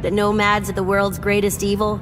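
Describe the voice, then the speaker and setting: A woman's voice: mid-range voice, flat delivery, a little fast, music in the background, natural voice. A woman voicing a guarded young female drifter in video game dialogue, terse, dismissive and defiant.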